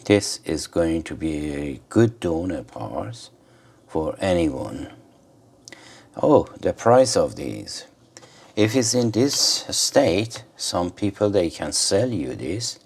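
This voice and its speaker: A man giving an informal hands-on commentary for a repair video, speaking haltingly in short phrases with pauses. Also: low voice, big pitch swings, creaky, darkish tone, husky. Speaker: host of an electronics repair video.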